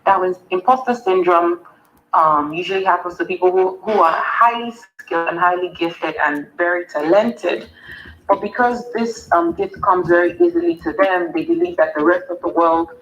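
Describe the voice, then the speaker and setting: A woman's voice: lowish voice, moderate pace, a bit bright, dull audio. A Nigerian woman, a wellness coach, earnestly answering summit interview questions and repeating words for emphasis.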